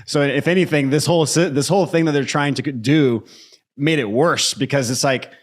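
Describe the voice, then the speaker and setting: A man from Brazil who grew up in the United States, hosting a casual interview in a halting, circling way. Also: fairly high pitch. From born in Brazil, grew up in the United States from age eight. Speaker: podcast host.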